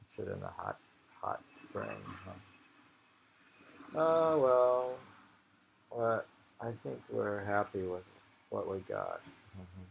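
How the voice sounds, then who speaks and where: A man talks very slowly in a fry-laden voice, casually sharing and musing on poetry. He meanders from thought to thought, with some background noise on the amateur recording. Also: on the low side, big pitch swings, highs cut off. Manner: rambling, meandering, musing aloud. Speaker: poetry reader and host.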